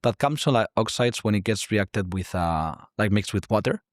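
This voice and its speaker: A man's voice: lowish voice, lively intonation, normal speed, no echo, clean studio sound. A man from Spain with a Spanish accent, in his thirties, explaining his career and industry as a podcast guest, peppering his talk with "like" and "right?". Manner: constant filler "like" and tag "right?". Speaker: guest, climate tech investor. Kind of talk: casual interview talk.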